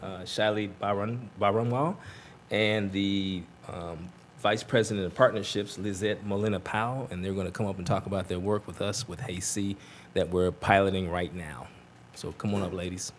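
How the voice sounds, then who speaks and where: Low-voiced man announcing district events at a board meeting, upbeat and chatty, faint noise behind. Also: steady tone, moderate pace. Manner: upbeat, chatty, many fillers. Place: meeting hall.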